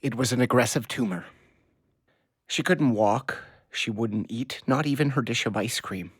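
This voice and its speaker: A man playing an awkward middle-aged dad in an audio play, husky and hesitant, earnest in manner. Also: mid-range voice, normal speed, no room sound, studio quality, close to an everyday voice. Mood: gentle, sorrowful. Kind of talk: naturalistic audio play acting.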